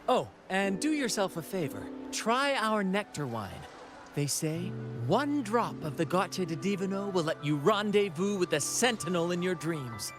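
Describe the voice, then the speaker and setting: A showy, upbeat ship's host in a game cutscene, bouncy lively pitch. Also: male voice, a little slow, over music. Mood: cheerful. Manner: flamboyant, cheerful.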